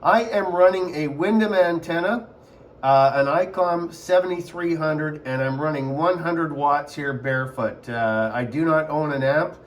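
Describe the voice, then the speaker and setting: A man with a voice a little higher than average, talking as a ham radio operator. He chats casually over a contact, circling back on his phrases and using phonetic call signs. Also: measured pace, slight background noise.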